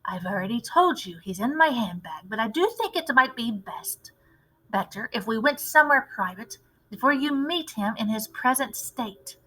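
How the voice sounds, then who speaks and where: A woman voicing a determined elderly grandmother in a storybook, tactful and measured but firm. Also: fairly high pitch, expressive, sharp tone, breathy edge, exaggerated voice, rough recording. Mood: insistent. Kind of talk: storybook read-aloud character voice.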